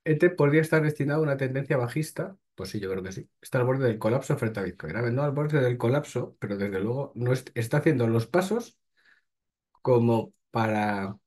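A man's voice: mid-range voice, animated, fairly quick, darkish tone, slightly rough. A man, hosting and reading crypto news aloud, then breaking off with short blunt remarks. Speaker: host.

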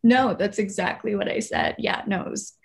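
A woman's voice: mid-range voice, normal speed, gravelly, husky. Woman playing a catty college girl. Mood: breezy condescension. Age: young adult. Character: condescending college-age girl, casually catty. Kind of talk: table read acting. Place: indoor room, video call. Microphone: video call, computer mic.

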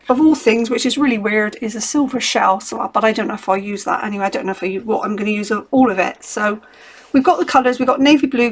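A middle-aged woman with a slightly croaky voice, chatting casually and rambling through her crafting supplies at a home craft table. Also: fairly high pitch. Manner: chatty, rambling, thinks aloud with many ums. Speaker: craft vlogger.

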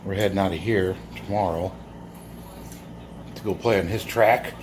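A man's voice: low voice, fairly even intonation, normal speed, darkish tone, a little hiss or hum. A man casually showing off his toy car finds in a rambling vlog, chuckling often and using many fillers, filmed on a handheld phone. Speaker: toy car collector vlogger. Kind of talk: casual show-and-tell vlog. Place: indoors, filming toys laid on a bedsheet. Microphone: handheld phone camera.

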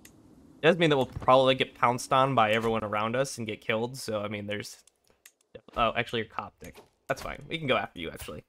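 Sick young man, raspy game commentary. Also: medium pitch, fairly quick, pushed and bright, slight background noise. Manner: rambling, thinking aloud, frequent throat clearing. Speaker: gameplay commentator. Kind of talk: casual let's play commentary.

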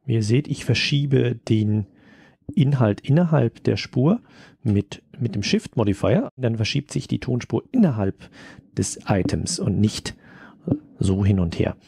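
A man presenting a casual software tutorial, thinking aloud as he works. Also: medium pitch, lively intonation, measured pace, creaky, breathy edge, no room sound, clean studio sound. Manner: thinking aloud while working.

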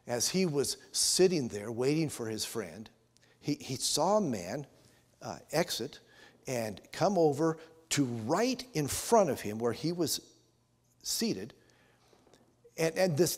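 A man in his sixties with an American accent, preaching and telling stories as a pastor, earnest and given to emphatic pauses, in a church. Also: mid-range voice. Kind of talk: sermon with storytelling. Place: church sanctuary, at the altar. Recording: reverberant church hall.

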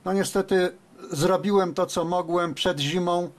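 Higher-voiced man making radio calls. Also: steady tone, normal speed. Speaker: amateur radio operator, host. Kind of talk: radio call-outs and casual commentary. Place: indoor room at a radio desk.

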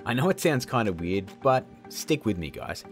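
A man's voice: fairly low pitch, sing-song, normal speed. A man in his thirties narrating a tutorial in a casual, informal way. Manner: informal, addresses viewers as guys. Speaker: host of audio effect tutorials. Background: background music.

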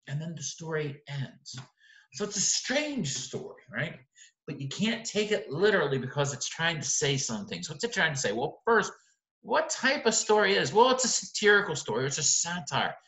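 A man's voice: a little high, bright, forceful tone, reverberant, poor audio. A man in his forties, a teacher giving a recorded lecture over slides in a conversational way, often checking in with "right?" and restarting his sentences.

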